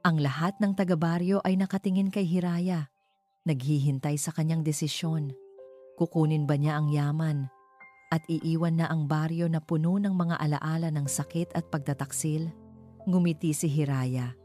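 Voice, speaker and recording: Woman storyteller, pretty low soft-edged mellow voice, measured dramatic read of a love story, bit of music behind. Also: steady tone. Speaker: story narrator. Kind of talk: scripted narration of an inspirational love story. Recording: music under the voice. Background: background music.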